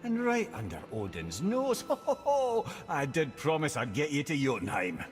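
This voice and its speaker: An actor playing a wry, learned old advisor in a video game, with a Scottish accent and a wordy, musing, theatrical way of talking. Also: male voice, a little high, wildly swooping pitch, moderate pace. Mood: delighted, hopeful. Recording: long reverb, music underneath. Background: music.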